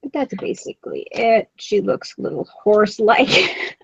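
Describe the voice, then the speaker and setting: A woman chats casually while she paints, talking viewers through her work and giggling at her own jokes. Her voice sits at a middle pitch. The audio is dull and a little lo-fi. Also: moderate pace, creaky, husky, no room sound. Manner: chatty, giggly, self-teasing. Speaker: craft stream co-host.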